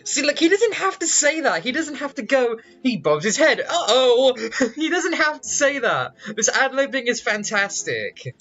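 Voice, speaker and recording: Animated, playful woman gamer on a dull mic. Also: high-pitched, moderate pace, few pauses, soft background music. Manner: amused, playful. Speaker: let's play streamer reading along. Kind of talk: casual game commentary.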